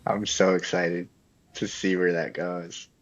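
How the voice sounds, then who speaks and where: A man's voice: sits low, level tone, slow pace. A man co-hosting a podcast, chatting casually and enthusiastically with lots of "like". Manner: enthusiastic, peppered with "like". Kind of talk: casual chat. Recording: dull, muffled sound.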